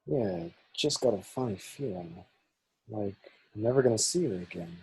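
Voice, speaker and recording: A man playing a cocky, wisecracking smuggler pilot with a husky voice and nervy bravado, in a script table read. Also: on the low side, expressive, normal speed, darkish tone, heavily acted, rough recording. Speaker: actor in an online table read. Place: remote video call, indoors.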